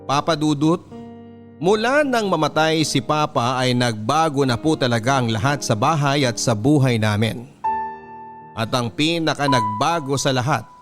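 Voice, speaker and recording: A man narrating a radio drama letter as a grieving adult looking back, in a measured, solemn storytelling manner. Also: medium pitch, lively intonation, natural voice. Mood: solemn, sorrowful. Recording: music bed clearly behind the voice.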